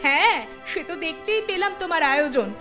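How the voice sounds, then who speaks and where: A woman's voice: very high-pitched, lively intonation, quick pace, loud music behind the voice. A woman voicing a resentful, complaining young married daughter in a cartoon, whiny and reproachful. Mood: tearful reproach. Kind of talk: Bengali cartoon voice acting.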